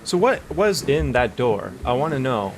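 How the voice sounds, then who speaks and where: Casual male streamer, mid-pitched voice. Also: sing-song, moderate pace, background noise. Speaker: streamer reacting to videos. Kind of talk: casual reaction commentary.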